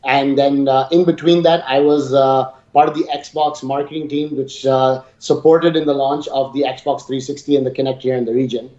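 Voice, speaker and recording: A man in his thirties gives chatty, emphatic interview answers. His voice sits a little high and is soft-edged and mellow. The recording is muffled, with clear room echo. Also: level tone, normal speed, few pauses. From Dubai, UAE. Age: 30s. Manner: talkative, informal, emphatic. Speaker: interview guest, gaming company regional director. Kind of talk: enthusiastic conversational interview answers. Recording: muffled, echoey room sound.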